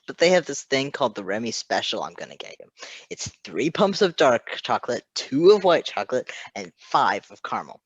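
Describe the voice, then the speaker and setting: A man's voice: medium pitch, fairly bright tone, dry recording, poor audio. A man voicing a flippant, slangy male nurse in his thirties for a story reading, teasing and breezy with a drawl. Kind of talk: audiobook-style character reading.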